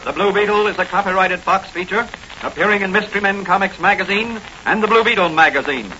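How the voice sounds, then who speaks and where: A man's voice: high-pitched, fast, bright, forceful tone. A male announcer reading scripted narration in a dramatic, declamatory 1940s radio serial style. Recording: muffled, noisy old-time radio recording.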